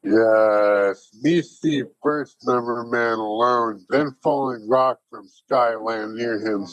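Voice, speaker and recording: An actor playing a gentle, simple-spoken Bigfoot in an audio play, talking in broken, childlike grammar. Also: male voice, mid-range voice, slow, dry recording, highs cut off, close to an everyday voice. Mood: plain, earnest. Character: gentle simple-spoken Bigfoot, a shy giant wild creature.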